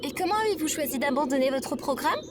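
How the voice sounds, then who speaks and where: A woman's voice: high voice, quick pace, background noise, close to an everyday voice. A woman playing an earnest AI companion droid in audio fiction, formal, literal and inquisitive. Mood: questioning. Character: earnest female AI companion droid, frustrated and questioning.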